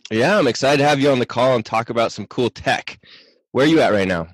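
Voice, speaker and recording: A man's voice: medium pitch, expressive, fast talker, no room sound. A man hosting a podcast, speaking casually and informally as he introduces and interviews. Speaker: podcast host.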